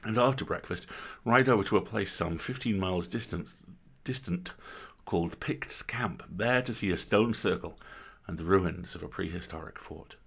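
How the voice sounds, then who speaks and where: A man reading a literary story aloud as a dramatic narrator, with theatrical, emphatic phrasing and deliberate pauses. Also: deep voice, vocal fry, a bit bright, breathy edge. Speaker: story reader, host.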